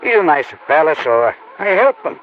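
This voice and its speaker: An evasive Italian immigrant farmer in a 1950s radio drama, pleading in broken English. His fairly high voice leaps around with excitable protest. The old recording is muffled. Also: fairly quick. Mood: defensive, explaining. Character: nervous, evasive immigrant farm neighbor, protesting innocence.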